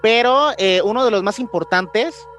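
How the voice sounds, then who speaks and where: Halting, higher-voiced Mexican man. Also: fairly bright tone. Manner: halting, searching for words, slangy. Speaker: podcast co-host. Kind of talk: casual podcast chat about ancient mysteries. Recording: music behind the voice.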